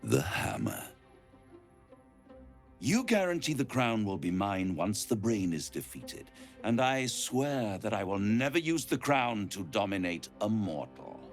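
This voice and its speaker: An actor playing a suave, scheming devil in a video game, silky, grandiose and menacing, with music underneath. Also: male voice, very animated, measured pace. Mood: silky menace. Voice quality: low, gravelly. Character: suave, scheming, gloating male devil making bargains. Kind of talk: dramatic video game acting.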